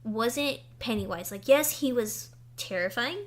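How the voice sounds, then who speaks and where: A young woman co-hosting a casual podcast chat, excitable and gushing about things she loves. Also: on the high side. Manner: excitable and gushing, quick to say she will cry. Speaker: podcast co-host.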